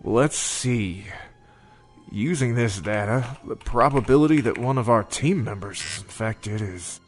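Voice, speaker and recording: A man as a low-voiced, grave senior biologist. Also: animated, unhurried. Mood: grim, calculating. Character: grave senior male biologist explaining a horrifying threat. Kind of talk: horror read-along audio drama. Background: music.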